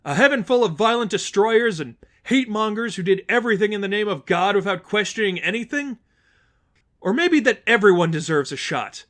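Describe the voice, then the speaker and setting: Casting: male reader as young heroine of a dramatic story, higher pitched, defiant, earnest, emphatic delivery to a crowd. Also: expressive, fairly quick, fairly bright tone. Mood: stern. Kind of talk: dramatic audiobook-style fan fiction reading.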